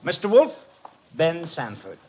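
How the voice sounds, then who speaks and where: An actor playing a cocky, wisecracking detective's assistant in a 1950s radio mystery, breezy and slangy. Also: male voice, on the high side, wildly swooping pitch, measured pace, darkish tone, husky, full character voice. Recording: dull vintage 1950 radio recording.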